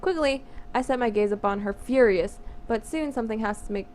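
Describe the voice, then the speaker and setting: A woman's voice: fairly high pitch, brisk. A woman of nineteen reading a visual novel script aloud, playful and chatty with casual asides. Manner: giggly, playful, easily sidetracked. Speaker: visual novel let's player. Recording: noisy home recording.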